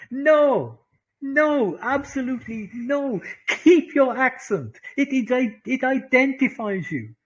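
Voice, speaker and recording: A man with a Welsh accent teaching an online English class, animated, laughing often and repeating phrases for emphasis. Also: very high-pitched, takes it slowly, husky, dull audio. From Wales.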